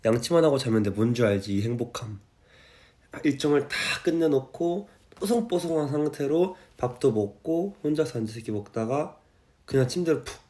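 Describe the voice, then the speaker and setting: A young man in his twenties chatting casually and softly on a late-night livestream from home, a little tipsy and drowsy as he reads viewer comments. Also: medium pitch, normal speed. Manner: relaxed, drowsy and soft-spoken, reading viewer comments. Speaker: idol livestreamer. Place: at home, indoors. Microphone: phone livestream.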